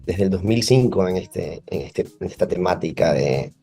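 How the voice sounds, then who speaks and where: Deep-voiced Ecuadorian man explaining. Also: lively intonation, normal speed, mellow, husky, soft background music. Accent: Ecuadorian Spanish. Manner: explanatory, uses many fillers. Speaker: guest. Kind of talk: podcast interview.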